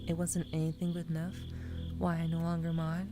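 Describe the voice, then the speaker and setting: A young woman speaks in a soft, soothing ASMR half-whisper, her voice a touch scratchy from recent crying. Her tone sits low and stays level, with little rise or fall. Music plays clearly underneath her. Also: completely flat delivery, brisk. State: slightly scratchy voice after crying. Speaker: VTuber streamer. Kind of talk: ASMR stream chat.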